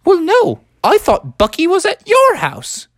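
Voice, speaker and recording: A man voicing a shrill, melodramatic mother who shrieks her lines. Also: wildly swooping pitch, a little slow, fairly bright tone, no echo, clean studio sound, exaggerated voice. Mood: dramatic. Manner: high-pitched, melodramatic, shrieking. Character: shrill, dramatic animal mom in a storybook.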